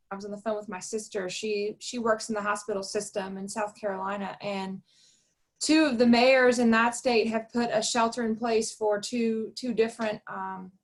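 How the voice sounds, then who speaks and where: Host role: woman, slightly high pitch, courteous and chatty. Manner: polite, appreciative, chatty. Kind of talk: moderating an online talk.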